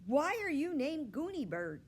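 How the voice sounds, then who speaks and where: A woman's voice: high voice, very animated, unhurried, pitched above the performer's own voice. A woman voicing eager, impatient young schoolchildren in a storybook, calling out emphatically in class. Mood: eager, insistent.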